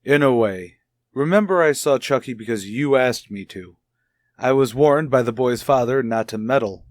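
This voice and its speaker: Actor as male child psychologist, medium pitch, measured, soothing, gently questioning, tactful, radio soap drama, dry recording. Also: lively intonation, no room sound, lightly acted. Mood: guarded, serious. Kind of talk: old radio soap opera acting.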